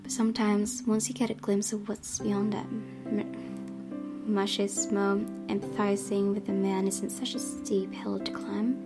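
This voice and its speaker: A woman's voice: medium pitch, little pitch movement, measured pace. A woman hosting a let's-play, reading a visual novel's narration aloud and commenting on it. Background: guitar music.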